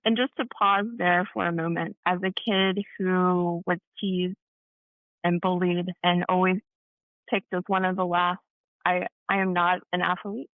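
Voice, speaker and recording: A woman's voice: on the low side, little pitch movement, a little slow, no room sound. A woman hosting a podcast, talking in a conversational interview style. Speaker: podcast host. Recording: muffled, thin phone-like sound.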